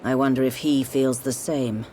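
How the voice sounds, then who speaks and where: An actress playing a stern, sharp-tongued woman in a video game, curt and direct. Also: a bass voice, fairly even intonation, normal speed, slight background noise. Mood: accusing, cold.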